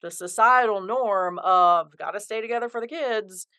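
A woman's voice: mid-range voice, normal speed, sharp tone, clear, resonant tone, no echo. A woman hosting a podcast, asking questions in an engaged, empathetic way. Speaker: podcast host. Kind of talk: conversational interview.

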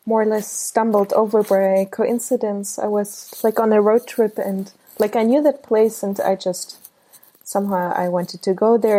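A woman's voice: mid-range voice, warm tone, rough recording. A woman in her twenties from Germany, a photographer answering interview questions in a hesitant, casual way with many fillers. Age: 20s. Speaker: guest photographer.

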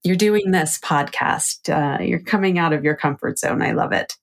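Low-voiced upbeat woman podcast host. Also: moderate pace, gravelly. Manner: upbeat, laughs often, encouraging. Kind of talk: casual interview chat.

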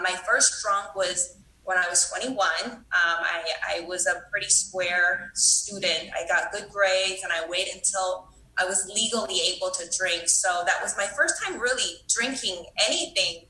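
A woman's voice: fairly low pitch, pushed and bright, roomy sound, poor audio. A woman from Taiwan speaking candidly at a recovery meeting, telling her own story with frequent fillers and self-deprecating humor. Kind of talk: personal recovery talk.

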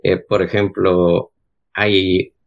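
A man's voice: sits low, little pitch movement, very slow, gravelly, muffled recording. A Mexican man with a Mexican accent, chatting casually and unhurriedly, often ending his points with a questioning tag. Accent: Mexican Spanish. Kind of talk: casual chat.

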